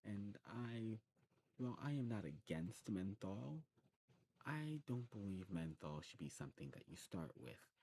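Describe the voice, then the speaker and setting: A man playing a courteous old demon shopkeeper in an ASMR roleplay, talking to the listener in a genteel way and musing with hums and pauses. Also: on the low side, big pitch swings, a little mellow, a little hiss or hum, poor audio. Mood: musing, generous.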